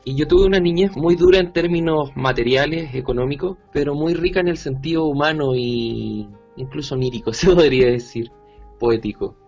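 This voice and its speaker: Gravelly Chilean man, thoughtful. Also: fairly high pitch, a little mellow, dull audio, soft background music. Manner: thoughtful, halting with restarts. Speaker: musician and music teacher. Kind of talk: interview about his music.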